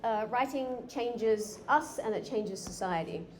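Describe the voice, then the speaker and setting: A woman's voice: a little high, unhurried. A woman giving a live conference talk, chatty with frequent fillers and restarts. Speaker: conference speaker. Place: conference room. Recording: echoey hall with faint noise.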